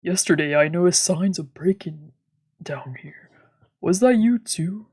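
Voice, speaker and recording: Woman putting on a husky, muttering male doctor. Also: on the low side, unhurried, darkish tone, no echo, clean studio sound. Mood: suspicious, muted. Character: stern, muttering male doctor, guarded and protective. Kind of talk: reading visual novel dialogue aloud.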